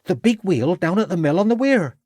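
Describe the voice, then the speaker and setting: Male narrator as cheeky servant boy for an audiobook: raised pitch, swooping, insistent, self-important, ungrammatical street talk. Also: animated, fairly quick, a little mellow, dry recording, clean studio sound. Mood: eager, insistent. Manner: colloquial, ungrammatical working-class speech, eager.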